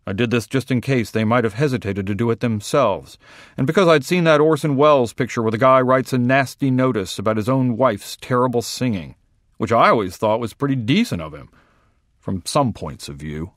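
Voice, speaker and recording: A man reading a short story aloud in a wry, deadpan first-person voice. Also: mid-range voice, fairly quick, no room sound, studio quality. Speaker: reader.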